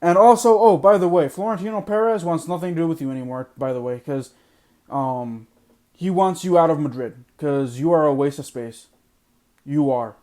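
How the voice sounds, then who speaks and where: A man's voice: a little high, normal speed, a little mellow. A man hosting a sports podcast, ranting bluntly and repeating his points for emphasis while losing his voice. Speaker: podcast host. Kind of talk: opinionated sports podcast monologue.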